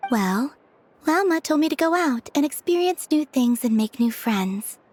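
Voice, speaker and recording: An actress voicing a gentle, dreamy, soft-spoken young woman. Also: high-pitched, animated, unhurried, background noise.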